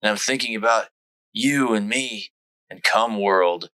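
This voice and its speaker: A man's voice: lowish voice, measured pace, sharp tone, clean tone, low-quality recording. A man playing a laid-back, awkwardly sincere young guy in a comic book dramatization, rambling and hesitant. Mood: hesitant, scheming.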